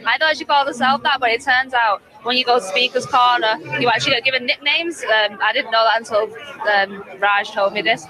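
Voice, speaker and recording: A woman's voice: on the high side, a little fast, rough recording. A woman interviewing someone outdoors at a rally, chatty and teasing. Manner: bubbly, teasing. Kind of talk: street interview. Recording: outdoor crowd with music.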